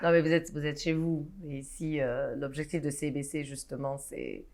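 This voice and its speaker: A woman's voice: low-pitched, expressive, normal speed, a little mellow. A woman in her thirties from Guinea, a guest in a studio interview, talking animatedly with frequent restarts and hesitations. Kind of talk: casual interview talk. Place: studio set.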